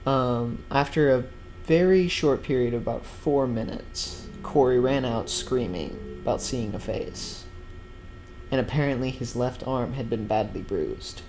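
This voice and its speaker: A man's voice: medium pitch, darkish tone, low-quality recording. A man narrating an explainer about a horror series in a scripted recap style. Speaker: video narrator.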